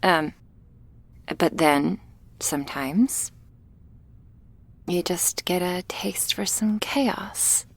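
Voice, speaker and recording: A woman playing a shy, awkward young female vampire in an intimate ASMR roleplay, speaking softly and hesitantly, often close to a whisper. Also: on the low side, heavily acted. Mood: shy, wistful. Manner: hesitant, halting, soft, often near a whisper.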